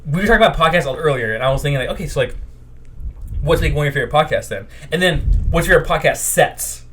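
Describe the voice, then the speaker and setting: A man hosting a podcast in casual chat, relaxed and rambling with lots of "like". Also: fairly high pitch, very fast. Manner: relaxed, rambling with many fillers. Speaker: podcast host.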